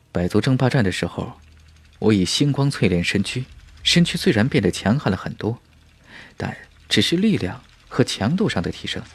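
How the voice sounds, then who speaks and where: A man's voice: medium pitch, slightly rough, no echo. A man voicing a confident young fantasy warrior who muses to himself in an audiobook. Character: confident young male martial cultivator, thinking aloud.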